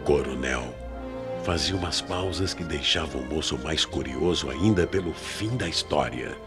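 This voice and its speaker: Very deep-voiced Brazilian male mystery narrator. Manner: measured, suspenseful. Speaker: radio drama narrator. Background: dramatic music.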